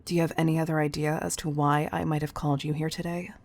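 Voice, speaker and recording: A woman playing a composed, commanding CEO in an intimate roleplay audio, speaking in a measured, teasing, patronizing way with affectionate firmness. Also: sits low, flat delivery, gravelly, darkish tone. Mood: patronizing, probing.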